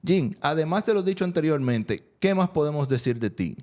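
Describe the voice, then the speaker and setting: A man's voice: fairly high pitch, expressive, normal speed, darkish tone. A man hosting an interview podcast, introducing and questioning a guest. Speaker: podcast host.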